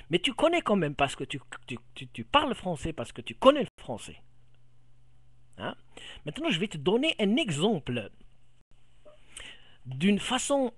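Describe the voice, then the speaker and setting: A middle-aged man from Algeria's Kabyle region with a Kabyle accent, explaining things to a viewer into a camera mic, halting and repeating words as he searches for them. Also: high-pitched, a bit bright, no room sound. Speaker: vlogger. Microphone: camera microphone.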